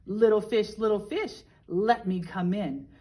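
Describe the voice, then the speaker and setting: Woman playing a loud, menacing big bad shark. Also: mid-range voice, measured pace, natural voice. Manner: chanting, emphatic threats.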